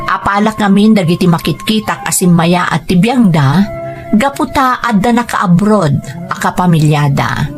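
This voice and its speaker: A woman's voice: mid-range voice, natural voice. A woman playing a dutiful younger sister who works abroad as a domestic helper, speaking with a Filipino Ilocano accent in an audio drama with first-person narration. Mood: reflective, grateful.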